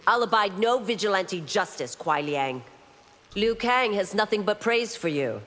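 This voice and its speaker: A woman's voice: medium pitch, lively intonation, a little slow, fairly bright tone. An actress playing a stern, dutiful female warrior constable in a video game, formal and firm. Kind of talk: video game character dialogue. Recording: long hall-like reverb.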